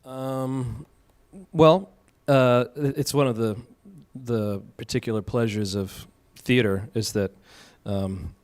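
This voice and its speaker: A man's voice: medium pitch, fairly even intonation, takes it slowly, a little mellow. A man, a stage actor, answering on a live panel in a hesitant, thoughtful way.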